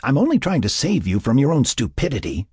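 A man's voice: mid-range voice, wildly swooping pitch, normal speed, darkish tone, slightly rough, dry recording, clean studio sound, pitched above the performer's own voice. A man voicing a stubborn, defiant and scolding woman settler in an audiobook. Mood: exasperated. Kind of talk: audiobook character dialogue.

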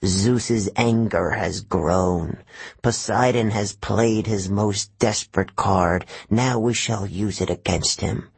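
A man voicing a cold, scornful monster from the deep. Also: gravelly, breathy edge, no echo, heavily acted. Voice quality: cold, slow, commanding. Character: menacing ancient male monster in a pit, scornful. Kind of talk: audiobook character voice.

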